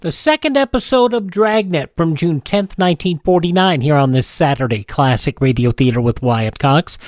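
A man's voice: fairly high pitch, darkish tone, no echo. A man hosting a radio show, reading a scripted outro into a close studio mic.

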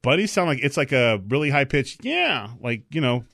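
A man co-hosting a talk show in loose, joking banter. He rambles through his asides and now and then bursts out loud with excitement. His voice sits at a middling pitch and sounds dry and close to the mic. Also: normal speed, creaky, no room sound. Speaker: co-host.

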